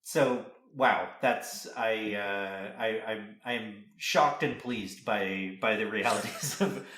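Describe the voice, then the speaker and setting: Guy hosting a chatty podcast, kinda halting and amused, sounds echoey and roomy. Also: fairly low pitch, a bit bright. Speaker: podcast host, interviewer. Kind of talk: casual interview chat.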